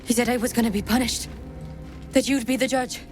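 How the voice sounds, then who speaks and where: Woman voicing frightened guilty woman, game drama, high voice, defensive, pleading innocence, background music. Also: steady tone, brisk, a little mellow, natural voice. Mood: fearful, pleading. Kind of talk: video game character acting. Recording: music behind.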